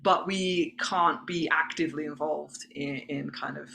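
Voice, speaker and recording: A woman's voice: sits low, fairly even intonation, a little slow, creaky, sharp tone, slight background noise. A woman hosting an online information session, answering questions in an accommodating, explanatory way. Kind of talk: spoken Q&A answering chat questions. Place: online video call.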